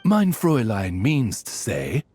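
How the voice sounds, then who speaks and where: Oz, stately swooping raven familiar. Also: male voice, medium pitch, huge pitch swings, unhurried, warm tone, soft background music. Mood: dignified. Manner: formal, deferential, stately. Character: dignified raven familiar, dutiful butler-like translator. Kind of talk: video game character acting.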